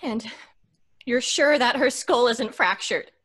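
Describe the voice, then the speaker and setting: A woman's voice: on the high side, slow pace, a bit bright, slightly rough, full character voice. A woman playing a sensible, firm, protective young woman, earnest and emphatic, in old-time radio drama style. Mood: steadying.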